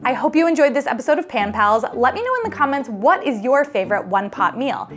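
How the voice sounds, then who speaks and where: A woman's voice: sits high, lively intonation, fast, a bit bright, soft background music. A woman hosting a cooking show, reading a scripted outro. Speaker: show host.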